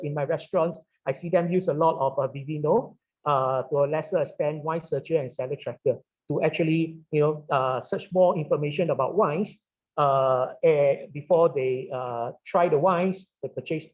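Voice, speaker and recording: A middle-aged man with a Singaporean English accent and a slightly high voice, speaking on a webinar panel. He pauses on "uh" a great deal and checks in with "right?" as he explains. The audio is dull and lo-fi, like a video call. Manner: halting, many "uh" fillers and "right?" tags. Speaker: wine retailer and sommelier.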